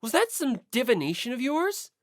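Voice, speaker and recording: An audiobook reader voicing a polite, earnest ordinary high school boy who speaks tentatively. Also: very animated, moderate pace, no echo, studio quality. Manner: tentative, mild. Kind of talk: audiobook character voice.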